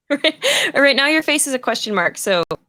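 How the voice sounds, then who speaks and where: A woman's voice: sits high, sharp tone, no echo, studio quality. A woman moderating a casual live-streamed conversation, passing questions along to guests. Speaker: host, moderator.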